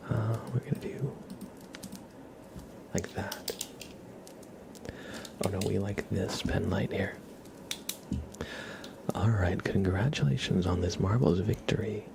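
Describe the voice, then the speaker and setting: A man hosting an ASMR livestream, chatting informally in a soft-spoken to whispered voice, rambling along. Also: deep voice, slow pace, creaky, long pauses, very noisy.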